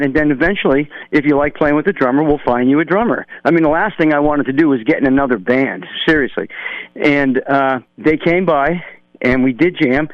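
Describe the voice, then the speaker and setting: A man's voice: medium pitch, no room sound. A man from New Hampshire, a drummer calling in to a radio interview, telling long stories loosely with many fillers. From New Hampshire, USA. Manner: rambling, relaxed storytelling with many fillers. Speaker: musician guest, drummer. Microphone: telephone. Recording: dull telephone line.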